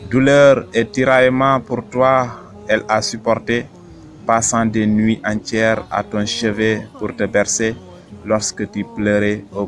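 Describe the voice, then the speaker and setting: A man with a fairly low voice recites devotional verse in a solemn, measured way. His delivery is earnest and exhorting, shaped like scripted rhyming poetry. Faint music sits behind the voice.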